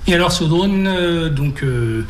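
A man hosting a conversational interview, putting halting questions with repeated words, recorded in a roomy space with background noise. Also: on the high side, expressive. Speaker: interviewer, host. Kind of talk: conversational radio-style interview.

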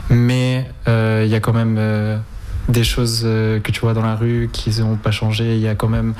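A man, answering interview questions in a halting way with many hesitations. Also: lowish voice, completely flat delivery, a little mellow, a little hiss or hum. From Spain, raised in Ukraine. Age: 20s. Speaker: guest.

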